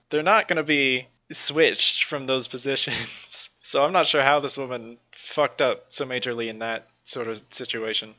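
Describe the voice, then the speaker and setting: A man's voice: mid-range voice, pushed and bright. A man co-hosting a podcast, casually bantering and reading out odd news stories in an informal, sweary way. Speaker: podcast co-host.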